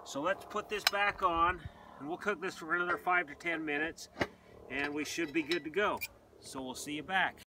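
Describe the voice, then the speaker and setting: A middle-aged man hosting a casual cooking demonstration, talking plainly and relaxed while he works with his hands, first in a kitchen, then in an open field. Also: on the high side, normal speed, recorded from a distance, heavy background noise. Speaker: outdoor cooking host. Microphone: camera mic at a distance.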